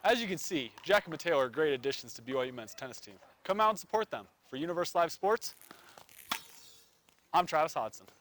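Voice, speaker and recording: A man's voice: on the high side, very animated, brisk, background noise. Upbeat male reporter doing a stand-up. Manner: upbeat, punny. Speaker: sports reporter. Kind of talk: on-camera news sign-off.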